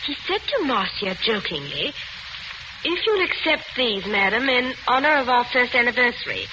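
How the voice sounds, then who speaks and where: A bewildered, earnest young woman in a 1940s radio mystery. Her pitch moves around a great deal with anxiety. The recording is muffled and noisy. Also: big pitch swings, normal speed. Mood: hesitant recall. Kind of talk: 1940s radio drama acting.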